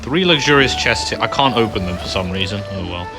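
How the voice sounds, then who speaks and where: A man's voice: lowish voice, expressive, brisk. Man casually commenting on gameplay. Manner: rambling, offhand, self-correcting. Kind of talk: casual let's-play commentary. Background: game music.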